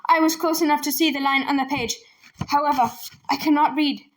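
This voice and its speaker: A woman's voice: high-pitched, fairly even intonation, fairly quick, close to an everyday voice. A woman voicing a timid, humble peasant boy soldier in a storybook, modest and deferential. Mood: humble, nervous.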